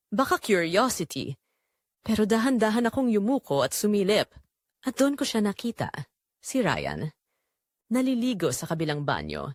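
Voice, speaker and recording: A woman performs a married mother confessing in a scripted audio drama, full of longing and guilt. Her mid-pitched voice rises and dips in a lively way, with a very dry, close sound. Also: no echo. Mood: quiet, reflective, then tense, yearning. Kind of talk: first-person scripted audio drama narration.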